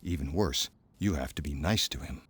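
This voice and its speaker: A man acts out a storybook dad with a very low, hushed voice. He is calm and sly, confiding his secrets deliberately, as if letting his child in on a plan. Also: breathy edge, dry recording, exaggerated voice.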